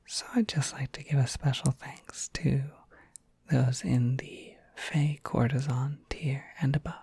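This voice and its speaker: An ASMR audio creator speaking in a hushed, breathy whisper, softly thanking supporters. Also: mid-range voice, steady tone, a little slow, dry recording.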